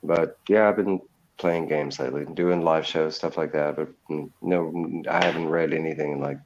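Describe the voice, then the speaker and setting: Deep-voiced guest in his forties, loose and joking; muffled low-fi sound. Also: fairly even intonation, normal speed, darkish tone, a little hiss or hum. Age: 40s. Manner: rambling, jokey, stumbles over words. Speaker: guest co-host. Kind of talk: casual chat. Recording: dull lo-fi audio.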